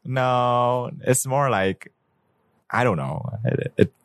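A man's voice: medium pitch, animated, slow pace, clear, resonant tone, no echo. A Vietnam-born man in his twenties, a podcast guest, talking casually and hesitantly with many fillers. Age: 20s.

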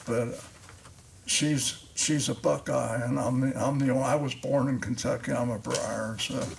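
An older man hosting a casual podcast interview, talking haltingly with many restarts and fillers. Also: mid-range voice, steady tone, vocal fry. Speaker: podcast host.

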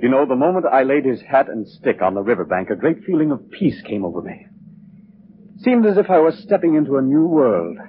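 An actor playing a cold, self-assured, scheming killer husband, clipped and commanding, in 1940s radio style. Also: male voice, medium pitch, big pitch swings, a little fast, lightly acted. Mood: smug calm. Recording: noisy, muffled 1940s radio recording.

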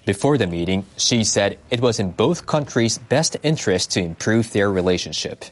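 Male newsreader, lowish voice, scripted bulletin delivery. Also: animated, moderate pace. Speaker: news reader. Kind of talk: scripted news narration.

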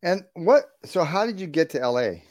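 A man's voice: a little high, sing-song, normal speed, a little mellow, no echo. A man hosting a podcast interview, enthusiastic and rambling, restarting his sentences. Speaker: podcast host. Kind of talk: casual interview.

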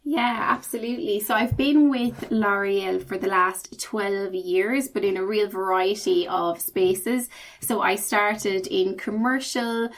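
Irish woman guest with a gravelly edge, mid-pitched, upbeat, articulate casual interview talk. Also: unhurried, poor audio. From Ireland. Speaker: podcast guest, learning manager.

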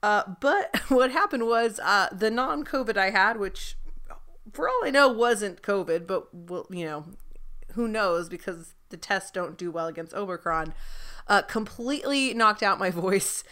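A woman hosting a podcast, chatting casually about an episode, her voice a bit deep after an illness. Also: expressive, measured pace, bright, forceful tone. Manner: chatty, restarts, laughs while recapping. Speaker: podcast host. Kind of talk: casual chat.

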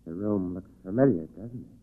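An actor playing a vengeful, murderous weaver in a 1940s radio drama, intense and gloating. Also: male voice, low-pitched, moderate pace, lightly acted. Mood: menacing invitation. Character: vengeful murderous weaver luring a woman, brooding and obsessive. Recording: noisy, muffled 1940s radio recording.

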